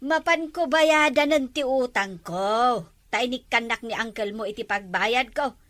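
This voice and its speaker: A woman's voice: a little high, a little slow, a bit bright, no room sound. A woman voicing a village wife in a comedy drama who explains things in a matter-of-fact way. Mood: explaining. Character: thrifty village wife in debt.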